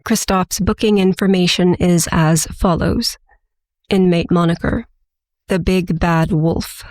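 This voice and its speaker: A woman playing a businesslike, weary agent who conducts a formal interrogation in an audio drama, in measured official phrasing. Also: on the low side, fairly even intonation, a little mellow, no echo, studio quality. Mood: formal, detached.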